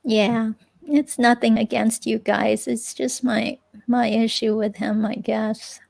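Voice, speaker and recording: A woman's voice: fairly high pitch, fairly even intonation, creaky, a little mellow, heavily acted. A woman reading the part of a hesitant, chatty ex-girlfriend questioned by police in a dramatic transcript reading. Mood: friendly, uneasy. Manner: hesitant, halting, casual.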